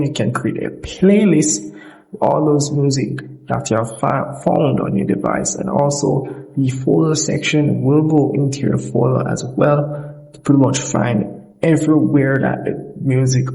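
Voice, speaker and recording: Man, tutorial host, creaky mid-low voice, informal walkthrough, repetitive explanations. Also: warm tone, rough recording. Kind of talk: informal screen-recorded tutorial narration.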